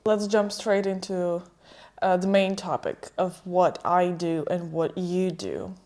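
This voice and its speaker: A woman's voice: lowish voice, steady tone, slow, darkish tone. A woman from Ukraine, a native Ukrainian speaker with an American-sounding accent, hosting a casual chat with her partner. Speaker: podcast host.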